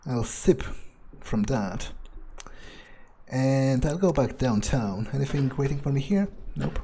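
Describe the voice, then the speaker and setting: Man acting out a cocky cruel vampire, mellow mid-range voice, musing, foul-mouthed, game roleplay, noisy background. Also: medium pitch, expressive, takes it slowly. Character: cocky, cruel male vampire player character, musing aloud. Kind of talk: in-character game roleplay monologue. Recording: clear background noise.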